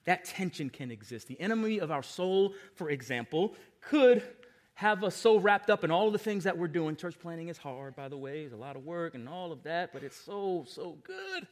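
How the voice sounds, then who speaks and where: An American man in his forties preaching a sermon as a pastor, emphatic and given to repeated refrains, moving between hushed asides and loud exclamations. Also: on the high side, sing-song, normal speed. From Long Island, New York, United States. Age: 40s.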